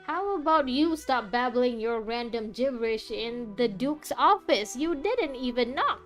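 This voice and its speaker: A woman's voice: fairly high pitch, lively intonation, a little slow, a bit bright, soft background music. A woman streaming a game with casual, sarcastic commentary, talking back to the characters.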